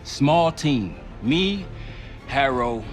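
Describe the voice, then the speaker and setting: Terse field operative over loud music. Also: male voice, mid-range voice, lively intonation, very slow. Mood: focused. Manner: direct, terse, military. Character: determined male covert field operative. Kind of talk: cinematic video game dialogue.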